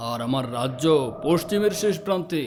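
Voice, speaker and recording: A man acts as a proud foreign king in a cartoon fairy tale. His voice is fairly high, formal and commanding, over faint ambient noise. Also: expressive, a little fast, lightly acted. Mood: haughty, formal. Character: haughty visiting king from a remote western land. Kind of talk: animated fairy tale dialogue.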